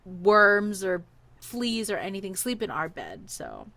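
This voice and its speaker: A woman telling a personal story in a casual, animated, chatty way, acting out bits of dialogue as she goes. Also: mid-range voice, slow, dry recording.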